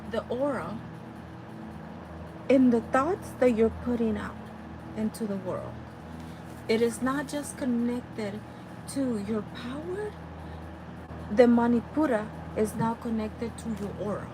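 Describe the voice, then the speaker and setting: Salvadoran-accented woman, spanish native, high voice, teaching spiritual stuff, lots of noise and cars behind. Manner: animated, addresses listeners directly. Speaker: spiritual healer.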